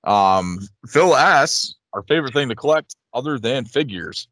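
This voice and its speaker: A man's voice: mid-range voice, expressive, unhurried, a bit bright, no echo. A man in his late thirties chatting casually as a podcast co-host, rambling and repeating his phrases. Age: late 30s. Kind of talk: casual chat, reading listener questions.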